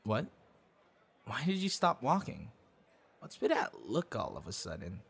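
A man acts out a secretive young man on a date in an intimate audio roleplay. His voice is breathy and unhurried, with a very lively, swooping pitch. He is tender and easily flustered. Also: full character voice. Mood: evasive. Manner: slow, intimate, with halting stammers when flustered. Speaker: ASMR roleplay voice actor. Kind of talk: scripted ASMR boyfriend roleplay.

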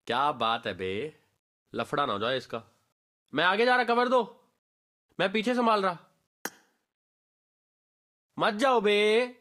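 A man's voice: high voice, exaggerated voice. A man voicing a brash, streetwise gamer barking slangy squad callouts for a game voice pack. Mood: wary, cheeky. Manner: animated, slangy, bossy.

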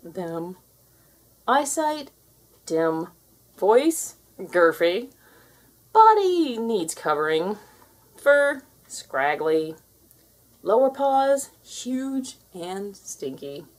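A woman's voice: medium pitch, big pitch swings, extremely slow, long pauses. A woman voicing a smug, sassy alpha cat who lectures younger cats with boastful, theatrical flair in a storybook read-aloud. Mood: scornful.

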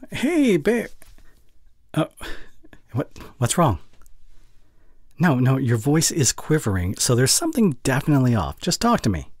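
Man acting as anxious protective young boyfriend, ASMR roleplay, intimate, halting, breathy, swooping pitch, dry close sound. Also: dry recording, full character voice. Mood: concerned. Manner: intimate, halting, breathy sighs, stammering when flustered.

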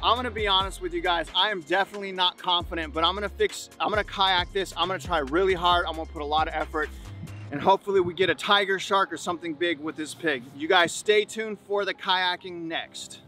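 A man's voice: sits high, quick pace, sharp tone, faint music behind. A man in his forties talking casually to viewers as host of an outdoor fishing vlog, repeating himself for emphasis. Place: outdoors on an open beach and in a kayak at sea.

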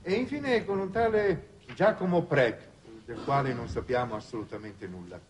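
An actor playing a dry government aide giving a briefing in a 1960s television drama. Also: male voice, medium pitch, moderate pace, natural voice. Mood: matter-of-fact. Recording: noisy old television soundtrack.

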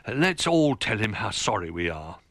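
A man's voice: lowish voice, very animated, normal speed, fairly bright tone, dry recording, full character voice. A man voicing an eager, scruffy young toy dog in a storybook, keen and artless. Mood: earnest.

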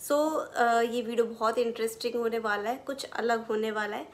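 A woman's voice: a little high, steady tone, normal speed. A young adult woman presenting as a physiotherapist, explaining technical terms in plain words in an educational style. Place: small furnished room indoors.

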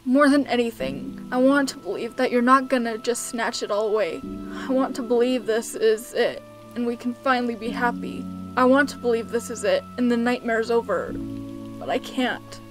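A woman voicing a weary, emotional male skeleton who is gentle and protective, speaking haltingly with stammers. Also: fairly high pitch, steady tone, over music, lightly acted. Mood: anxious, pleading, then broken, resigned. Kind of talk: amateur comic dub acting.

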